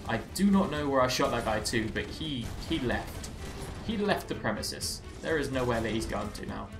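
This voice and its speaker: A man's voice: mid-range voice, expressive, moderate pace, gravelly. A man with a Southeast English accent tinged by American speech, giving chatty, rambling live commentary as he plays a game, with wry self-mocking asides. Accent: Southeast English, slightly Americanized. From England. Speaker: game streamer. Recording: roomy echo with game music behind. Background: game music.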